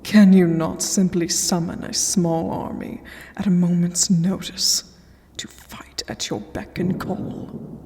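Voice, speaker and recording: A woman's voice: lowish voice, mellow, slight background noise. A woman voicing an ancient vampire queen in a fantasy audio roleplay, regal and threatening, often dropping to a whisper. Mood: stern, questioning. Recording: long hall reverb, music underneath. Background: clear background music.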